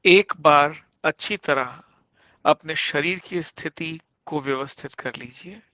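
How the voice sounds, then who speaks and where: Male meditation instructor, fairly high voice, very slow measured phrasing with pauses, guiding deep relaxation. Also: sharp tone, dry recording. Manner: measured, instructive, pausing between short phrases. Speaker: yoga instructor. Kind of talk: guided yoga nidra meditation, slow spoken instructions.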